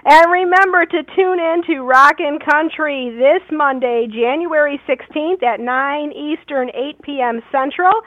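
A woman co-host reading station announcements on a radio show in a brisk, upbeat promotional way. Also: high voice, dry recording. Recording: dull, lo-fi, dry sound.

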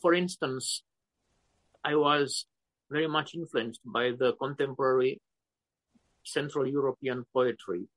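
Slow halting Romanian-accented man. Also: mid-range voice, a bit bright, no room sound, low-quality recording. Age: 50s. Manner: slow, halting, searching for words. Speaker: poet guest. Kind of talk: interview answers.

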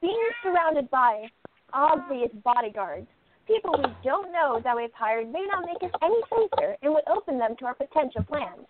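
High-voiced headstrong young-sounding princess played by an actress, phone-thin. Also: female voice, very animated, normal speed, narrow, tinny audio, slight background noise. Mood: firm. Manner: confident, insistent. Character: curious, headstrong exiled princess playing amateur sleuth. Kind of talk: radio play acting.

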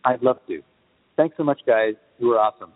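A man's voice: medium pitch, brisk, no room sound. A man speaking casually as a guest on a podcast interview. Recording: dry, phone-like sound.

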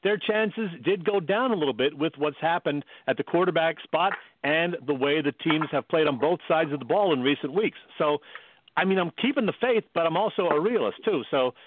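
An American man co-hosting casual sports radio, talking in a measured, explanatory way. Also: a little high, lively intonation. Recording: dry, phone-like narrowband radio sound.

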